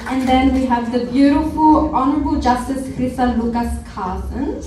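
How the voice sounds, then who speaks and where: A woman's voice: high-pitched, moderate pace. A woman moderating a live panel discussion, introducing the guests and asking them questions. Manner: warm introductions, checks pronunciation and sound. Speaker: event host and panel moderator. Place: large event hall. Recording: echoey hall from far off, faint noise.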